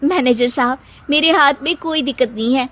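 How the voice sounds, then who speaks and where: A woman voicing a boastful, stubborn young housewife in a comic cartoon story, bossy and quick to retort. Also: high-pitched, a bit bright, voice pushed up.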